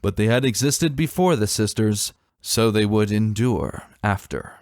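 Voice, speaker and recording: A man narrating a fantasy story as a dramatic reading, solemn and deliberate in his storytelling. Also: on the low side, animated, darkish tone, no echo, clean studio sound.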